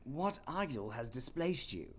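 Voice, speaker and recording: A man playing a defensive, earnest miser in an audio play, with a husky voice. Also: mid-range voice, sing-song, unhurried, a little hiss or hum, voice pushed up. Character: Ebenezer Scrooge, moved and defensive miser.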